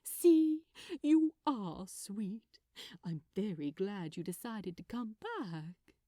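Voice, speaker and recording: A woman voicing a sweet, gently flirtatious man who talks politely and teasingly in a fan fiction reading. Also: mid-range voice, big pitch swings, takes it slowly, dry recording, clean studio sound. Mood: pleased. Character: sweet, gently flirtatious male gift-wrapper. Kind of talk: fan fiction audiobook dialogue.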